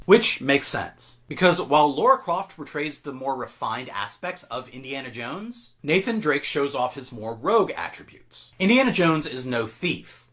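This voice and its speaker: A man's voice: a little high, fairly bright tone. A man hosting an online video, giving scripted, enthusiastic fan commentary to his viewers. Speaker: YouTube host. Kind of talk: scripted video commentary on film and game news.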